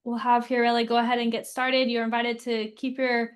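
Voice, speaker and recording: A woman opens the webinar with relaxed, informal instructions about cameras and muting. Her voice sits a little high, heard over a video call. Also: brisk. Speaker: webinar moderator. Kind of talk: casual logistics announcement.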